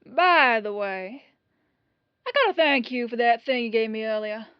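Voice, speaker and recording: A woman's voice: on the high side, expressive, moderate pace, clean tone, no echo, muffled recording, lightly acted. A woman playing a humble, apologetic police detective in an ASMR roleplay, chatty and rambling in a disarmingly casual way. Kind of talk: ASMR roleplay audio drama.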